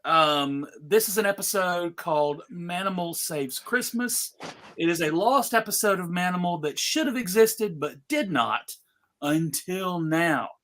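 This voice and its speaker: A man's voice: high voice, animated, a little slow, fairly bright tone. A man hosting and narrating a table read in a playful, mock-formal, emphatic way. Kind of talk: playful, theatrical presenting.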